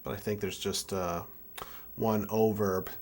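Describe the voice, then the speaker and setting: A man in his twenties giving a casual hands-on tutorial, thinking aloud in a halting way as he works. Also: lowish voice, fairly even intonation, a little mellow, slight background noise. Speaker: music keyboard tutorial presenter.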